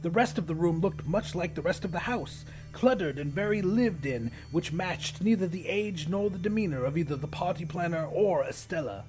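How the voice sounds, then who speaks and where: A man's voice: high voice, animated, normal speed, few pauses, music in the background, rough recording. A man narrating first-person fiction as a dramatic audiobook reader.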